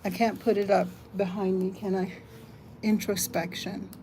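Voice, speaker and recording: An older woman from the United States hosting a casual live stream, chatting, reading comments aloud and thinking out loud. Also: medium pitch, flat delivery, normal speed, noisy background. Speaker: livestream host.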